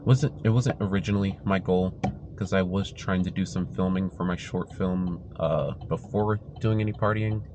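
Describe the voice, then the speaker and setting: A man's voice: deep voice, steady tone, moderate pace. A young man with a slightly congested voice, chatting casually to the camera as a vlogger inside a car. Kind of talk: casual vlog chat to camera. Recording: noisy car interior with engine hum. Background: car and road noise.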